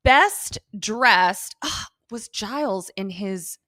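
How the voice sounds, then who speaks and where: Bright, forward-voiced woman podcast co-host. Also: medium pitch, expressive, extremely slow, no room sound, clean studio sound. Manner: playfully opinionated, swears casually. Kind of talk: casual chat, TV rewatch review.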